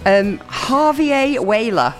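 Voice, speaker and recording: A woman's voice: on the high side, big pitch swings, slow pace, soft background music. Breezy woman reading radio birthdays. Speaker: radio co-presenter. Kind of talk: casual radio chat.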